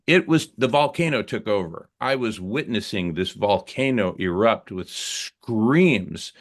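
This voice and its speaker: A man sharing a personal story in a group conversation, earnest and reflective, halting with fillers and restarts. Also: on the low side.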